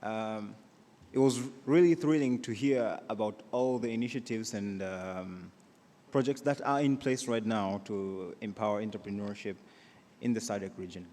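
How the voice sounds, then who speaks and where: A man's voice: fairly low pitch, normal speed, warm tone. A man with a Tanzanian accent putting an earnest, insistent question from the floor of a big reverberant conference hall. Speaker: audience questioner, company executive.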